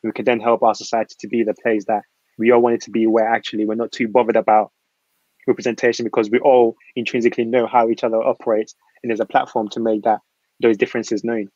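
A man who grew up in Ghana speaks at a rapid clip on a panel discussion, filling his points with earnest, rambling asides. He talks through a laptop webcam, and the sound is dry and muffled. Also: medium pitch, level tone, darkish tone, dry recording. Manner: earnest, rambling, many filler phrases. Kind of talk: panel discussion on a video call. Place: small room at home. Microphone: laptop webcam on a video call. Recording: muffled video-call audio.

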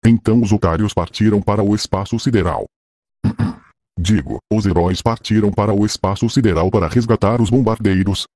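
A man's voice: fairly low pitch, fairly quick, darkish tone, dry recording, clean studio sound. A man with a Brazilian accent reading scripted narration for a cartoon adventure.

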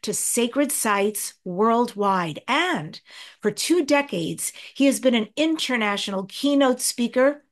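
A woman's voice: on the high side, animated, takes it slowly. A middle-aged woman hosting a podcast interview, asking questions enthusiastically over a video call. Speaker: host.

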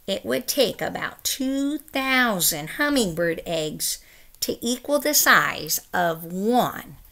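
Slow, expressive woman reading to children. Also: medium pitch, gravelly. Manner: careful, expressive, emphatic phrasing for young listeners. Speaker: teacher reading aloud. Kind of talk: children's picture-book read-aloud. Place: small room at home, indoors.

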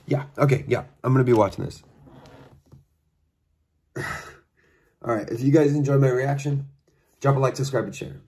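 A man in his twenties giving casual reaction commentary in a dry, deadpan way, musing aloud in a bedroom. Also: medium pitch, moderate pace, long pauses, slightly rough. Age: 20s. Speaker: host of a trailer reaction video. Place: bedroom at home, indoors.

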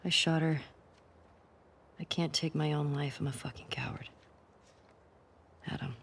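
Over clear background ambience comes a young American woman survivor in a cinematic game. Her voice is notably low for a woman. She is guarded and hurt, speaking with quiet, hesitant earnestness. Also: steady tone, a little slow, slight background noise. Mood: somber reading aloud. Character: wary, wounded young woman survivor pressing for the truth. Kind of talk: naturalistic cinematic game acting.

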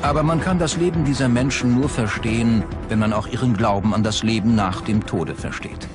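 A man's voice: mid-range voice, a little fast. A man reading scripted narration as a documentary narrator. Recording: loud music behind the voice. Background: music bed.

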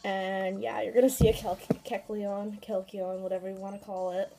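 A woman with a slight cold, sniffling now and then, gives a casual walkthrough of a handheld game. Her voice sits at an ordinary middle pitch, and she rambles with fillers and small asides. Soft game music plays faintly behind her. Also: level tone, moderate pace, low-quality recording. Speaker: gaming YouTuber.

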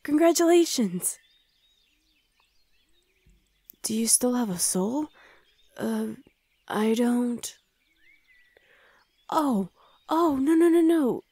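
A woman playing a flustered, gentle young demon hell knight in an intimate ASMR roleplay, hesitant and soothing, often slipping into a breathy whisper. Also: a little high, expressive, slow pace, long pauses, dry recording, full character voice. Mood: matter-of-fact, teasing.

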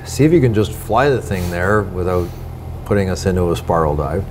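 A man's voice: low-pitched, lively intonation, a little fast, noisy background. A middle-aged man, a flight instructor, giving a conversational briefing.

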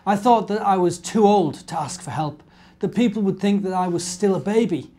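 A man's voice: high voice, moderate pace, mellow. A British man in his forties, a minister, chatting simply to young children as a storyteller and asking them questions. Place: living room indoors.

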